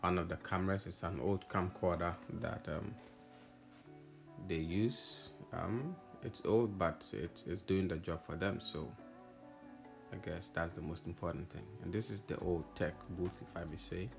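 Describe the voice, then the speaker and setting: Male tech vlogger, low gravelly voice, hesitant informal narration over clearly audible music. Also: unhurried. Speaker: audio installer narrating own project video. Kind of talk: casual walkthrough voice-over. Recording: music playing behind the voice. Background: background music.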